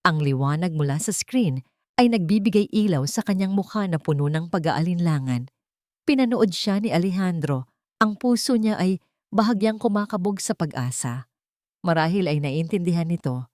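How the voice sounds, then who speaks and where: Low, dry-sounding woman narrating drama. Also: darkish tone, no echo. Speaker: storyteller. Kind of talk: dramatic scripted narration of a Tagalog audio love drama.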